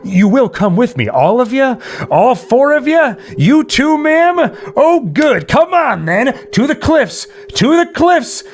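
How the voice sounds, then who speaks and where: Man acting a high, crazed haunted man. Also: big pitch swings, heavily acted. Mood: frenzied, beckoning. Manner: frenzied, drawn-out calling. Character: haunted man gone mad, shrieking toward the cliffs. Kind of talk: amateur radio play acting.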